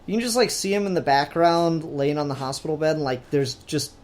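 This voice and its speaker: A man's voice: fairly high pitch, lively intonation, fairly quick. A man talking casually as a podcast co-host in a review discussion, in a hesitant, hedging, conversational way.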